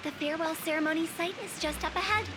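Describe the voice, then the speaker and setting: An actress playing a playful, cheeky young funeral parlor director in an anime video game, teasing and theatrical. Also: female voice, very high-pitched, steady tone, quick pace, background noise. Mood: calm, guiding.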